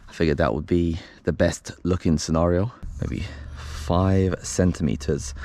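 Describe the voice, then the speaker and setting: A man's voice: deep voice, steady tone, a little slow, warm tone, no room sound. A man hosting a DIY video, talking casually and thinking aloud as he walks through a hands-on installation outdoors. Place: outdoors by a concrete wall.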